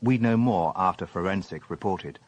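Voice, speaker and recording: An actor playing a methodical, courteous police inspector in a radio drama, measured and polite as he narrates and questions. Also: low voice. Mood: reflective. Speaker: narrator and lead detective.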